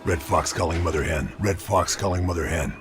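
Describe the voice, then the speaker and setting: An actor playing a grim Second World War sniper agent, narrating missions in a terse, grave way. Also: male voice, deep voice, a little fast, faint music behind, lightly acted. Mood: clipped, serious. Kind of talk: scripted cinematic mission briefing narration.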